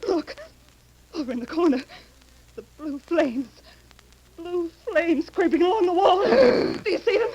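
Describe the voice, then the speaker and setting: An actress playing a guilty, sharp-tongued, high-strung widow in a melodramatic 1930s radio horror drama. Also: female voice, unhurried, long pauses, dry recording, muffled recording. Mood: alarmed. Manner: melodramatic, accusing. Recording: faintly noisy old radio recording.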